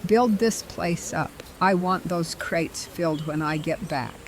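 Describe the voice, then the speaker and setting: An actress voicing a calm, formal and assured mysterious woman trader. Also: lowish voice, natural voice. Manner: measured, deliberate, formal.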